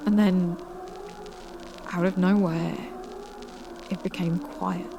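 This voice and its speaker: A woman narrating a scary story in a measured manner with dramatic pauses. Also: lowish voice, fairly even intonation, gravelly, background noise. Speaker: narrator reading submitted scary stories. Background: music.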